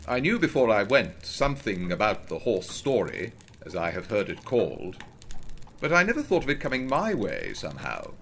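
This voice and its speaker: An actor playing a formal Edwardian gentleman ghost investigator, telling his ghost story in a deliberate, ominous and grave manner. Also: lowish voice, huge pitch swings, vocal fry, slight background noise, heavily acted. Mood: intrigued, measured. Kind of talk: dramatic first-person storytelling of a ghost story.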